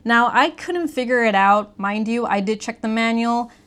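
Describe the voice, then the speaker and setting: A woman's voice: on the high side, moderate pace, fairly bright tone, smooth. Casual woman walking through a gadget. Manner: explains step by step, conversational. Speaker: product reviewer. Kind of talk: casual hands-on gadget review chat.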